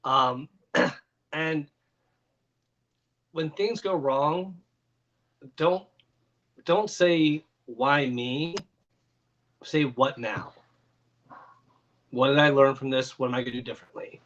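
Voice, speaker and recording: A man's voice: mid-range voice, takes it slowly, pauses often, a bit bright, dull audio. A man co-hosting a video chat, giving earnest, frank advice. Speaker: co-host.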